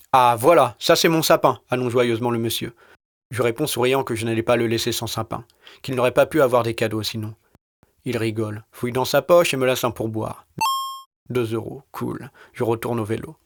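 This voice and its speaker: A man's voice: mid-range voice, steady tone, brisk. Understated man narrating a short story. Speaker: narrator of his own audio short story. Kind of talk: scripted first-person literary narration. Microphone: studio recording.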